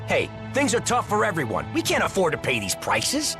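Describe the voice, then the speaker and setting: A man's voice: high-pitched, wildly swooping pitch, moderate pace, heavily acted. An actor playing a stout-hearted, plain-spoken townsman in a video game. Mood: protesting. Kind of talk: English video game voice acting. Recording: light music behind the voice.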